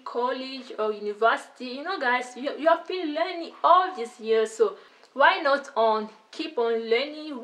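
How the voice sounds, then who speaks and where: A woman's voice: high-pitched, a bit bright, rough recording. A young woman who learned English after French schooling speaks with a French-influenced accent in casual talk to camera, upbeat and smiling, often turning to her viewers with "you know, guys.". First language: not English; schooled in French. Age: young adult. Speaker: YouTuber giving language tips. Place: indoors against a plain wall.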